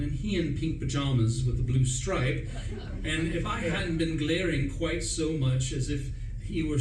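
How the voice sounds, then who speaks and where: A man's voice: on the high side, darkish tone. A man giving a theatrical, wry and wordy first-person narration in a comic radio play. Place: live performance in a roomy space. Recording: roomy, distant, noisy live stage recording.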